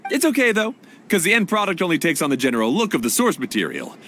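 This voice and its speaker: An actor voicing a laid-back, friendly young caretaker in an anime fantasy game, speaking in a casual, slangy way. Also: male voice, fairly high pitch, huge pitch swings, fast, fairly bright tone, a little hiss or hum. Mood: easygoing.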